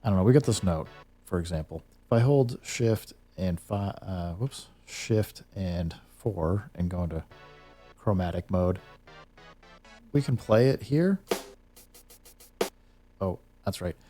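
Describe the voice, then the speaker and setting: Low-voiced, mellow man giving a casual tutorial. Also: animated, takes it slowly, pauses often, soft background music. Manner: rambling, thinking aloud while demonstrating. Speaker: host of a music gear tutorial series. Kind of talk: casual unscripted tutorial talk.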